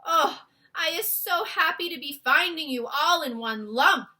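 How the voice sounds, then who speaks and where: A woman's voice: high-pitched, animated, measured pace, bright, forceful tone, pitched above the performer's own voice, heavily acted. A woman voicing a kindly, innocent male giant in a children's storybook, earnest and dignified, with mangled folksy grammar. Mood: relieved, astonished. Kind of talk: acted children's storybook read-aloud.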